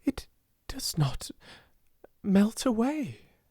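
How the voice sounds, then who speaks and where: A woman's voice: medium pitch, very animated, extremely slow, mellow, breathy edge, no room sound, studio quality, pitched above the performer's own voice, full character voice. A woman voicing a young storybook girl, breathless and overjoyed with wonder, in panting, half-whispered exclamations. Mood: hushed wonder. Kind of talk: storybook character voice in audiobook reading.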